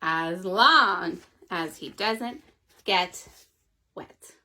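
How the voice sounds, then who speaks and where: A woman voicing a mischievous little girl in a children's story, with flat, matter-of-fact replies. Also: fairly low pitch, very slow, pitched below the performer's own voice, exaggerated voice. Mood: sly.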